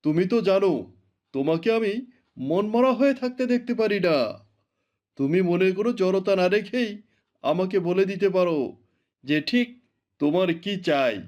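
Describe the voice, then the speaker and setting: A devoted king from a cartoon, acted by a man, with a high-pitched male voice. He is regal yet coaxing and reassuring, an indulgent husband by temper. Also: expressive, moderate pace, long pauses, darkish tone. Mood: tender, reassuring. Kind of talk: cartoon voice acting.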